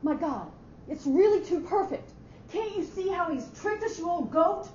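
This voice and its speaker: A woman's voice: extremely high pitch, animated, normal speed, mellow. Woman playing a brash, indignant office battle-axe, roomy recording. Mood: furious, accusing. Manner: haughty, sarcastic, emphatic. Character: brash, indignant female office efficiency expert. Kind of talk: staged dramatic reading of a short story. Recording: roomy, muffled live hall recording with hiss.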